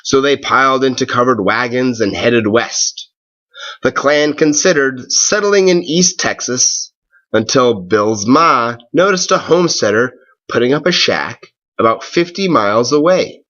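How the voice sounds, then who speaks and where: A man reading a storybook aloud with expressive, deliberate, dramatic phrasing. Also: medium pitch, lo-fi sound.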